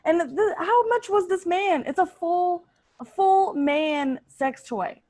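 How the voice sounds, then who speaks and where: An exasperated, suspicious girlfriend, played by a woman in improv comedy, with a very high voice and an incredulous way of repeating herself. The sound is close and dry. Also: lively intonation, slow pace, a little mellow, no echo, full character voice. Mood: incredulous, sarcastic. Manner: incredulous, hesitant repetition. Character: exasperated, suspicious girlfriend nagging her partner.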